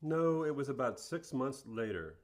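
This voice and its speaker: Man acting as earnest fast-food store manager. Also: medium pitch, animated, close to an everyday voice. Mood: polite. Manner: plain-spoken, matter-of-fact. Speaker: interviewee. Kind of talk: scripted interview in a radio play.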